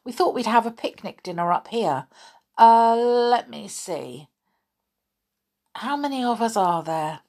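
A woman's voice: fairly high pitch, animated, unhurried, pauses often, darkish tone, pitched above the performer's own voice. A woman voicing a cheerful, bustling male tree dweller in a storybook reading.

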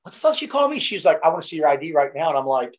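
A man's voice: on the high side, sing-song, rapid-fire, highs cut off. A middle-aged man with a Baltimore accent, a podcast guest telling laid-back, profane stories in casual chat. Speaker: podcast guest, former Navy SEAL.

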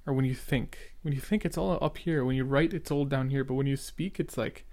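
A man's voice: medium pitch, fairly quick, a little mellow. A young man who stutters, talking casually and earnestly as he reacts to his own old podcast episode. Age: young adult. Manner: earnest, rambling, filler-heavy.

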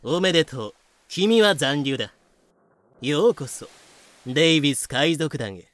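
A man's voice: on the high side, lively intonation, fairly bright tone, dry recording. A man playing a young pirate captain, polite and businesslike yet coolly ruthless, in a fan-made voice drama. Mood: brisk, businesslike. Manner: brisk, businesslike polite speech. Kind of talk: fan-made anime-style voice drama with narration.

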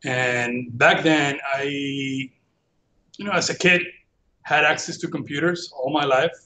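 A man in his forties from Venezuela, speaking English with a Venezuelan Spanish accent, telling his story as a guest in a relaxed, self-deprecating way. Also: on the high side, measured pace, low-quality recording. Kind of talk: casual conversation.

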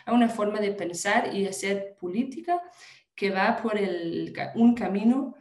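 A woman's voice: mid-range voice, animated, a little slow, a little mellow, echoey room. Woman speaking careful Spanish. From Denmark. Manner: hesitant, many fillers and restarts. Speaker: guest activist. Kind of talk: conversational interview answer.

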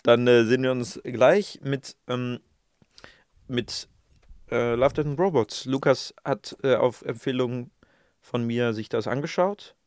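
A man's voice: mid-range voice, unhurried, darkish tone, smooth, no echo, clean studio sound. A man co-hosting a casual podcast, rambling and self-mocking, with plenty of fillers. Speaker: podcast co-host.

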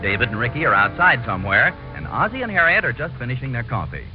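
A man's voice: medium pitch, very animated, quick pace. A man announcing a scripted 1940s radio programme in a polished, declamatory manner. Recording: muffled 1940s radio recording with music.

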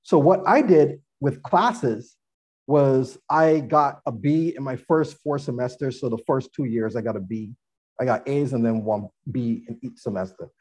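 A man's voice: medium pitch, measured pace, a little mellow, slightly rough. A man being interviewed on a podcast, telling earnest stories about his upbringing and laughing now and then. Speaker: guest, former athlete and attorney.

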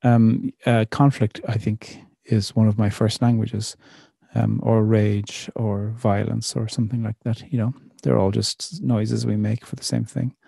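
Poet, middle-aged male, dark gravelly voice, slow reflective delivery. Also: fairly low pitch, flat delivery, no echo, clean studio sound. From Ireland. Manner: thoughtful, measured, many pauses and fillers. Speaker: poet, interview guest. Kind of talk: reflective conversational interview.

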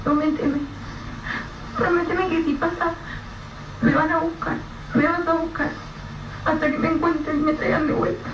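Young daughter, frightened, thin high voice, dull far-off radio-play sound. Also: female voice, level tone, normal speed, pauses often. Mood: frightened pleading. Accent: Rioplatense Spanish. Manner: pleading, fearful. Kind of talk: radio drama acting. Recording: echoey, distant, dull, faint hiss.

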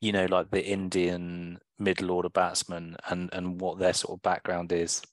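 A man co-hosting a podcast, talking in a deadpan way he himself calls boring. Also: sits low, moderate pace, dry recording, studio quality. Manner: deadpan, self-described boring voice. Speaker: podcast co-host. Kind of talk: casual chat.

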